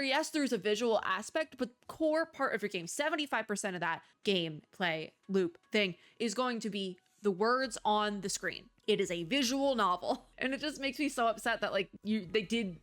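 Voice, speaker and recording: A woman's voice: medium pitch, animated, normal speed, few pauses, a bit bright. Woman casually chatting through game commentary. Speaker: video game reviewer and streamer.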